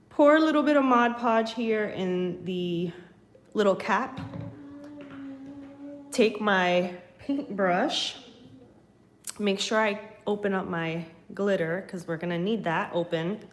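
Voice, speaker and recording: A woman's voice: medium pitch, slight background noise. A young woman hosting a live craft tutorial at home, getting over a cold and a little sniffly, chatting to viewers while she works. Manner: slow, chatty, talks to viewers while working. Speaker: craft channel host. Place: home craft table, indoors.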